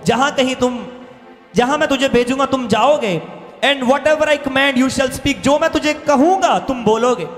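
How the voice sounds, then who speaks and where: High-pitched male preacher in echoing hall. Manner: emphatic, repeats phrases, slows for quoted verses. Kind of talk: impassioned sermon mixing Hindi and English. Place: church hall stage. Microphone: handheld microphone. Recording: reverberant hall PA with music behind. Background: music.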